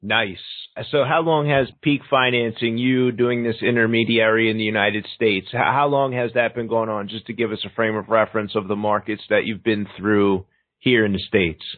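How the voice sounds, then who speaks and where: A man's voice: lowish voice, steady tone, moderate pace, no room sound. Podcast host guy, reads the intro then chats, dry tinny sound. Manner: scripted intro read, then casual questions.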